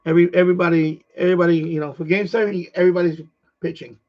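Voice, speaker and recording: A middle-aged man from New York with a New York accent, hoarse, chatting casually on his livestream and restarting his phrases as an eager sports fan. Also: high voice, fairly even intonation, very fast. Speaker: livestream host. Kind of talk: casual rambling chat. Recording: dull lo-fi stream audio.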